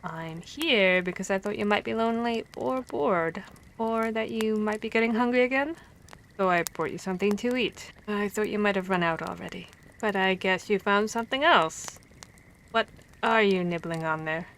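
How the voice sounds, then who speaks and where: A woman playing a female vampire hunter who scolds and cares for her comrade, in an expressive, stammering ASMR roleplay. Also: medium pitch, moderate pace, natural voice, low-quality recording. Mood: teasing, skeptical.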